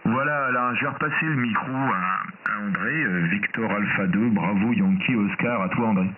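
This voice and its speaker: A man's voice: fairly low pitch, normal speed. A man from France with a metropolitan French accent, chatting casually as an amateur radio operator. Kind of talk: casual ham radio chat with spelled call signs. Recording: noisy, narrow-band, distant shortwave radio. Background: radio static and clicks.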